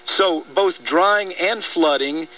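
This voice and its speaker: A man's voice: sits high, slow, sharp tone. An American man talking opinionated politics on the radio in a blunt, exasperated, emphatic way. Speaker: talk radio host and trial lawyer. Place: radio broadcast. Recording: dull lo-fi radio, distant.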